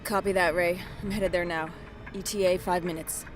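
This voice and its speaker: An actress playing a stern, suspicious officer in an audio drama, curt and commanding. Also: female voice, fairly low pitch, steady tone, moderate pace, music in the background, natural voice. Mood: businesslike.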